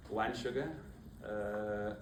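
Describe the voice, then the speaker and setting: Male rabbi, 50s, South African accent, tired and halting delivery, distant mic with background noise. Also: lowish voice, fairly even intonation, reverberant. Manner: halting, many fillers and restarts. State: low-energy, weary. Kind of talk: informal talk to a small group.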